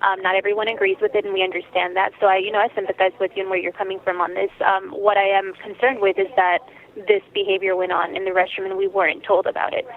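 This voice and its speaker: Over a narrow, tinny phone connection, a woman handles a customer complaint. She talks very quickly but haltingly, in a conciliatory service manner. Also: medium pitch, little pitch movement. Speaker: store employee handling a complaint.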